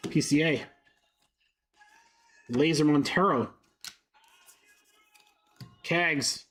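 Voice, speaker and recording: A man's voice: a little high, extremely slow, fairly bright tone, faint music behind. A congested young man with a head cold, chatting upbeat on a live stream while he rattles off cards. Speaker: card break streamer. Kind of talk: live-stream card break commentary.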